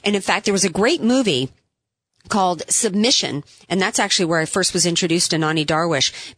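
A woman hosting a political talk radio show, delivering an opinionated, emphatic monologue with sarcastic asides while her voice is going hoarse. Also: medium pitch, fairly bright tone, dry recording. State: losing her voice, has a cough drop in.

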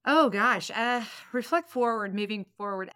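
A woman's voice: on the high side, moderate pace, fairly bright tone, clear, resonant tone, dry recording. A woman of about fifty giving candid, motivational answers as a guest in a conversational interview. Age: 49, turning fifty next month. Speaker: podcast guest, business consultant and strategic planner.

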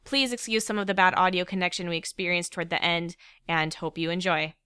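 A woman host reads the episode intro in an upbeat, polished way. Her voice is bright and crisp and sits a little low. The recording is dry and close. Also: steady tone, fairly quick, no echo. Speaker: podcast co-host. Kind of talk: scripted show introduction.

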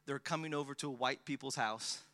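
A man in his thirties preaching in a bright, clear voice. He tells stories about himself with easy humor, and stumbles through many fillers and restarts. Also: mid-range voice, fairly quick. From born in the Philippines, raised in Australia. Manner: self-deprecating, joking, many fillers and restarts. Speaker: pastor. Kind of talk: guest sermon, personal storytelling.

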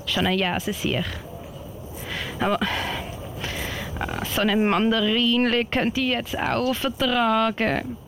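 Woman playing a young girl scout, Swiss German accent, higher voice, chatty, earnest, radio play, faint noise. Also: fairly even intonation, slow pace, creaky, pauses often. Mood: weary, musing. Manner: chatty, thinking aloud. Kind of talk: amateur radio play acting. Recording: slightly noisy amateur recording.

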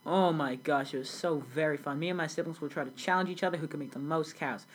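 A woman's voice: sits low, fast, slight background noise, lightly acted. A teenage girl playing a grown immigrant recalling a childhood in South Sudan, telling her story earnestly in a scripted school interview. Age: young teen. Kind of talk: school project role-play interview.

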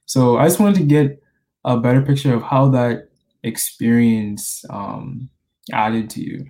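A college senior in his twenties from the Bahamas, hosting a podcast interview in a relaxed way with lots of fillers. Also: mid-range voice, measured pace. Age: 20s. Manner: relaxed, many fillers like you know and like.

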